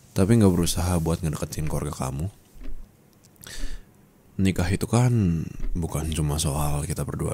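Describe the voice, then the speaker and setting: A man acting as a young Indonesian husband in an audio roleplay, with a low-pitched voice. He talks to his wife closely and affectionately, teasing and coaxing her in an intimate ASMR manner. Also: darkish tone.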